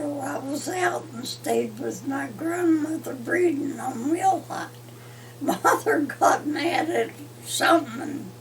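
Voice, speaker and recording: A very old woman in her hundreds recalls her youth in an interview. Her voice sits high and moves slowly. The mic is some way off, with faint background noise. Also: distant mic, rough recording. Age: 100s. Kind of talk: recorded interview.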